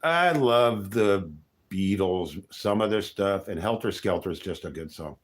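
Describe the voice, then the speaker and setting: A man's voice: low voice, lively intonation, a little slow. A man from California, hosting his channel in a rambling, self-deprecating livestream chat with music behind him. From Los Angeles area, California. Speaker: channel host.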